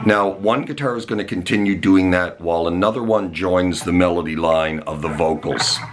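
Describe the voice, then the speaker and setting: A man with a cold and a hoarse voice, teaching a guitar lesson in a relaxed way with lots of "uh" fillers. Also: deep voice, normal speed. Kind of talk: guitar tutorial. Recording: guitar and faint backing music behind.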